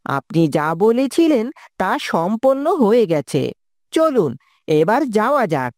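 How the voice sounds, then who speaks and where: A woman voicing a cold, sly royal minister in a fairy-tale cartoon, speaking in a deliberate, conspiratorial manner. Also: fairly high pitch, very animated, a little mellow, no echo, studio quality. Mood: deferential.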